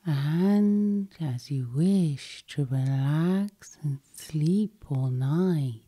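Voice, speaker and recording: A woman gently guiding a sleep hypnosis session with breathy, whispery, calming ASMR delivery. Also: sits low, extremely slow.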